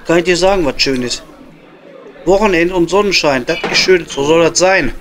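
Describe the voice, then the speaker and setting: A man's voice: fairly high pitch, moderate pace, a crowd in the background, natural voice. A man with a Ruhr accent playing a blunt, teasing coal miner bantering in a pub in an improvised audio comedy. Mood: easygoing. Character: gruff, bantering working-class male coal miner in a pub. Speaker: comedy performer. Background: music.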